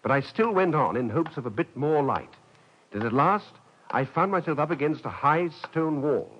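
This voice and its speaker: A man's voice: fairly high pitch, animated, normal speed, slight background noise, lightly acted. An actor playing a headstrong French nobleman who tells of his youthful adventure in an articulate, dramatic radio-drama style. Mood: measured, suspenseful. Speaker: lead actor and narrator. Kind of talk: mid-century radio drama narration and acting. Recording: slightly dull vintage radio recording, music behind.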